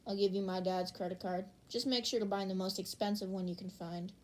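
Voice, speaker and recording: A wealthy, unruffled teenage boy in a fan audio story, acted by a woman. His delivery is deadpan and subdued, in a medium-pitched voice. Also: fairly quick, close to an everyday voice. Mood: calm, flat.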